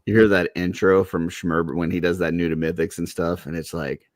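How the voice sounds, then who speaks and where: A man's voice: sits low, level tone, fairly quick, dry recording, clean studio sound. A man with a soothing voice in a casual podcast chat, telling stories in a laid-back way with lots of "like". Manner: storytelling, laid-back, heavy use of "like".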